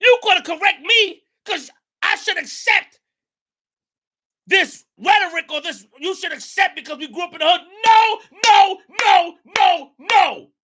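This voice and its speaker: Fired-up New man, high and bright. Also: unhurried. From New York. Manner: emphatic, repetitive, rising to shouts. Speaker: show host. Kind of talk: impassioned commentary monologue.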